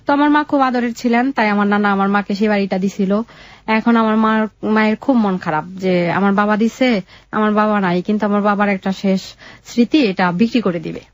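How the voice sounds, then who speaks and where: A young woman with a Bangladeshi accent telling her life story in an interview, in a halting, colloquial way. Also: mid-range voice, no echo, dull audio.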